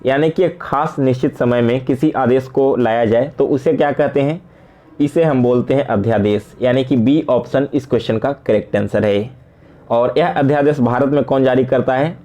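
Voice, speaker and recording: A man's voice: medium pitch, warm tone. A young man working as an exam-prep tutor, explaining quiz questions conversationally, asking rhetorical questions, checking in and mixing in English words. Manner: mixes English words into speech.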